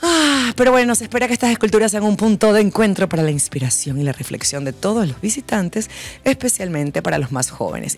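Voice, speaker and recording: A woman hosting a news chat show in a small studio, exclaiming and laughing often. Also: lowish voice. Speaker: host. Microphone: studio mic on boom, wearing headphones.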